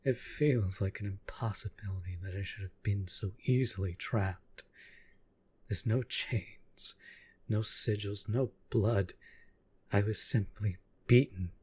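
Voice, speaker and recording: A man playing a possessive, proud and devoted demon lover in an intimate audio roleplay, speaking formally and intensely with a breathy, husky voice. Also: on the low side, no room sound. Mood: ardent, adoring. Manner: slow, formal, deliberate, intense.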